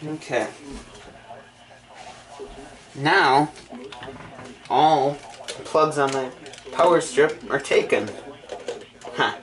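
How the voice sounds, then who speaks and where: A man casually narrating an unboxing and setup in halting, short muttered phrases. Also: fairly high pitch, low-quality recording. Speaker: hobbyist video maker.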